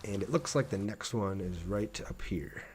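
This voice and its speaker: A man's voice: fairly low pitch, moderate pace, darkish tone, a little hiss or hum. A man streaming a game, chatting casually and in a laid-back way with his viewers as he plays. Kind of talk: casual livestream chat over gameplay.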